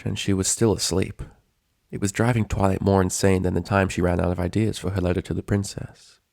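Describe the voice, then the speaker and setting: A man's voice: low voice, creaky, a little mellow, dry recording, clean studio sound. A man reading a story aloud as its narrator, in scripted prose narration. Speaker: narrator, fan fiction reader.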